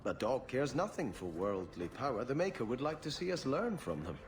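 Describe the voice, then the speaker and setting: An actor playing a devout, conflicted young exiled prince in a video game, with a formal, confessional manner. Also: male voice, mid-range voice, sing-song, brisk, slight background noise, natural voice. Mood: respectful. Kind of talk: video game cutscene acting.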